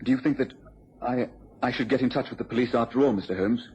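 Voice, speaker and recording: An actor with an English accent playing an anxious, earnest, deferential gentleman in an old British radio drama, eager and slightly hesitant. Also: medium pitch, quick pace, lightly acted. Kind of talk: 1950s-60s British radio drama acting. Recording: dull, hissy old radio recording.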